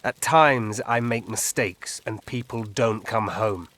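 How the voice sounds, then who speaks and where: A man's voice: fairly low pitch, slow, a bit bright, dry recording. An actor playing a melancholy, cryptic old elf woodsman in a fantasy video game, musing with a tipsy drawl. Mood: aloof, matter-of-fact.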